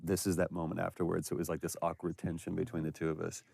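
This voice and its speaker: A man's voice: low voice, completely flat delivery, quick pace, vocal fry, a little mellow, breathy edge, dry recording. A man giving casual, enthusiastic behind-the-scenes commentary as an actor looking back on his work. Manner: enthusiastic, effusive.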